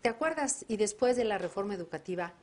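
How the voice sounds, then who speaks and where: A woman's voice: medium pitch, animated, fast, a little hiss or hum. Mexican woman hosting a civic talk show. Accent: Mexican Spanish. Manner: conversational, addresses viewers directly. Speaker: host. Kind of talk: televised discussion.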